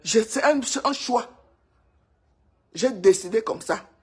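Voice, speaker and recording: A woman's voice: fairly high pitch, animated, slow, slightly rough. A woman from Cameroon with a Cameroonian French accent, talking to her viewers in a casual, emphatic gossip monologue and repeating herself for effect. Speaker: vlogger.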